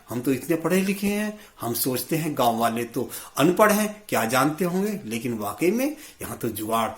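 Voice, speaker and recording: A man's voice: a little high, voice pushed up. A man voicing a friendly, easily impressed young woman visiting from abroad in a moral story. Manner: exclamatory, musing. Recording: roomy, echoey sound.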